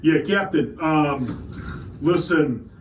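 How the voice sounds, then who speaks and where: A man's voice: on the high side, very slow. A man playing a hesitant, informal starship crewman reporting to his captain in a tabletop game. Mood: uneasy, urgent. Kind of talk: improvised tabletop game acting. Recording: roomy, distant mics with clear noise.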